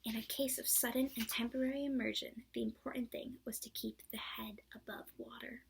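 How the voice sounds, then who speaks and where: Lady doing a pompous owl explaining stuff, breathy gravelly. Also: on the high side, steady tone, brisk, full character voice, poor audio. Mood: lecturing. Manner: pompous, lecturing. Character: pompous wordy male owl.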